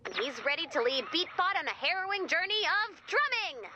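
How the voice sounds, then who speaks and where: A woman playing a perky, excitable robot who gushes like a nerdy music superfan in a video game. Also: very high-pitched, brisk, a little hiss or hum, full character voice. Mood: upbeat. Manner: gushing, quippy, theatrical. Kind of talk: video game character dialogue.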